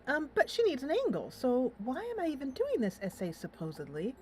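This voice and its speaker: A woman's voice: high-pitched, huge pitch swings, a little fast, darkish tone, a little hiss or hum. A woman hosting a casual let's play, thinking aloud in a playful, giggly way as she comments on the game. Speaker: host of a gaming channel.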